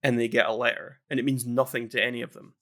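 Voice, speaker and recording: A man's voice: mid-range voice, fairly even intonation, fast talker, a bit bright. Rambling, chatty man with restarts. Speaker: podcast co-host. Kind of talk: casual podcast chat.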